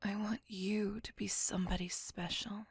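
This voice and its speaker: A woman playing a courteous, melancholy vampire on a date in an intimate ASMR roleplay, speaking softly and formally in a breathy, whispery voice, tender and wistful. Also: medium pitch, little pitch movement, moderate pace, no echo, clean studio sound. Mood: wistful, yearning.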